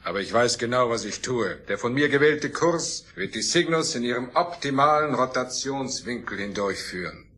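A man playing an imperious, obsessed scientist and starship commander in a radio play, speaking in a grandiose, deliberate way with a husky voice. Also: mid-range voice, lively intonation, bright, forceful tone. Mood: self-assured. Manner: grandiose, deliberate, self-assured.

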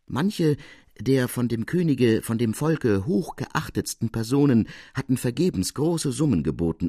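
A man narrating a classic literary tale for a radio audiobook in an expressive, formal way. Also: lowish voice, dry recording, studio quality.

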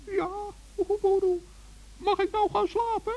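A man playing a small, kind-hearted forest gnome in a children's radio play, hesitant and innocent in a creaky, squeaky voice. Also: fairly even intonation, measured pace, a little mellow, heavily acted. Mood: meek. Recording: dull vintage radio recording.

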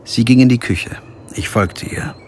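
An actor playing a brooding, weary middle-aged lawyer who narrates his own investigation in a measured, introspective way in a radio crime drama. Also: mid-range voice, a little mellow, slight background noise, lightly acted. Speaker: narrator and lead. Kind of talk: scripted first-person narration and dialogue in a radio crime drama.

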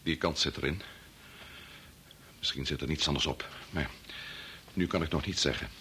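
A man's voice: a bass voice, normal speed, sharp tone, husky, full character voice. An actor playing a middle-aged, preoccupied and domineering doctor and father in a Dutch radio drama, muttering or curt. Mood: grave, strained. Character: preoccupied, domineering male doctor-scientist, father of a grown son.

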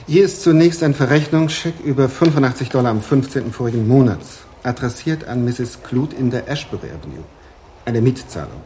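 An actor playing an obliging, courteous older bank manager in a radio play, speaking politely and precisely. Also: male voice, mid-range voice, darkish tone, a little hiss or hum, lightly acted.

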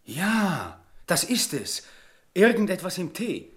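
Sardonic, aggrieved clerk, lively intonation. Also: male voice, high-pitched, slow, heavily acted. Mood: nervous. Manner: sardonic, complaining. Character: aggrieved, cowardly male junior bank clerk, sardonic and bitter. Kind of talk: German radio play acting.

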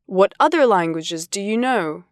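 This is a woman voicing lines for a language course. She speaks at a very slow, deliberate pace and pronounces each word with care. The recording is close and dry. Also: mid-range voice, lively intonation, no room sound. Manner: slow, deliberate, carefully enunciated. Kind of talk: scripted textbook dialogue reading.

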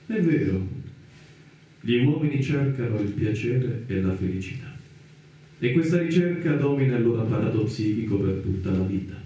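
A man's voice: lowish voice, lively intonation, moderate pace, warm tone, close to an everyday voice. An actor playing a stern, pessimistic male psychoanalyst in a theatrical radio drama, declaiming his admonishments. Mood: grave, dismissive. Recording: echoey, distant, roomy sound.

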